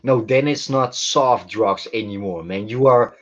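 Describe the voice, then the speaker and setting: A man with an average-pitched voice chats as a guest. He speaks slowly and haltingly, restarting often and filling gaps with "like". The sound is dull and lo-fi. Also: over a noisy crowd. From Netherlands. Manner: halting, many restarts and "like", slow and deliberate. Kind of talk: casual chat. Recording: dull lo-fi livestream audio.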